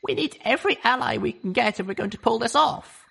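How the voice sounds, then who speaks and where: A man's voice: extremely high pitch, brisk, creaky, a bit bright, slightly rough, voice pushed up, full character voice. A man voicing a young female unicorn pony heroine in a storybook reading, earnest and pressing. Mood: urgent.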